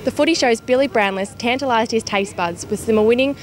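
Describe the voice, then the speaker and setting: A woman's voice: on the high side, a little fast. A woman with an Australian accent working as a television reporter, giving news reports and asking interview questions on location.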